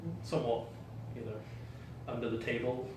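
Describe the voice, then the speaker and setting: A man's voice: mid-range voice, normal speed. Rambling English expat man, echoey room. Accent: English expat, mixed colonial. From England. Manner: rambling, many fillers, laughs often. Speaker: game designer guest. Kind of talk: casual interview chat. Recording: echoey room, distant mic, faint noise.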